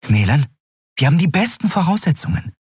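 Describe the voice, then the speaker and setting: A headstrong adventuress, played by a male narrator in a fantasy audiobook, pitched high with very lively intonation. She talks briskly and persuasively, stubborn and bold, in a close, dry recording. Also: breathy edge, no room sound, exaggerated voice. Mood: earnest, persuasive. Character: bold, stubborn young woman, adventurous thief and ship owner.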